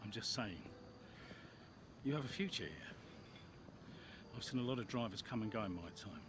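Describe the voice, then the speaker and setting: An actor playing a blunt, coaxing older racing team mentor in a video game cutscene. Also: male voice, lowish voice, lively intonation, moderate pace, noisy background, close to an everyday voice. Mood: earnest.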